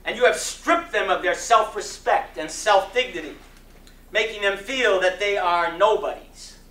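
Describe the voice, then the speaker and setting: A man delivers a sermon as a dramatic reading in a bright, forceful voice. His phrasing is very slow and deliberate, with impassioned oratorical emphasis. He is recorded from a distance in an echoey hall. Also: sits high. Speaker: reader of a sermon. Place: community center hall. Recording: distant mic in echoey room, faint noise.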